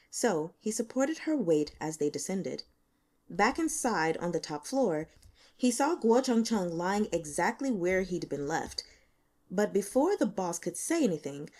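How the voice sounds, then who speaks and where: A woman reading a novel aloud as scripted audiobook narration, with expressive, dramatic storytelling. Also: mid-range voice. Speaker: audiobook reader.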